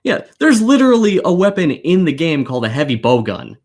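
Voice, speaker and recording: A man's voice: a little high, very animated, a little fast, a little mellow. A man co-hosting a gaming podcast in a casual call, giving sweary, exasperated, emphatic opinions. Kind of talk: heated opinion rant in a casual group chat. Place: remote voice call over the internet.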